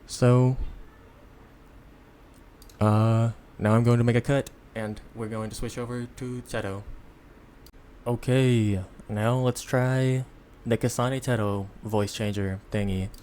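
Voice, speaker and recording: A man giving a casual, unscripted software tutorial in an informal, halting, step-by-step way, with background noise. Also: mid-range voice, fairly even intonation. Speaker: tutorial presenter.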